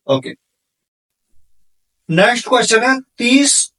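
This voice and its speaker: A man's voice: high voice, far from the mic, low-quality recording. A man teaching exam problems step by step, asking questions and answering them himself in an instructional manner. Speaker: physics teacher for exam candidates.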